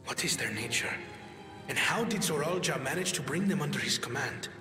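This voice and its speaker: An actor playing a grave, analytical adviser in a fantasy video game, speaking formally and deliberately. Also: male voice, a little high, animated, natural voice. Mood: grave puzzlement. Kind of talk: dramatic video game cutscene acting. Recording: reverberant hall effect, music behind.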